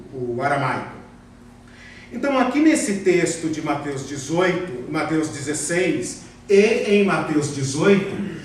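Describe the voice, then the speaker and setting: A man with a Brazilian Portuguese accent teaching a theology class, often tagging questions onto his sentences and repeating words for emphasis. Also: fairly high pitch. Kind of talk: classroom lecture. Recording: very echoey room, voice far from the mic, faint noise.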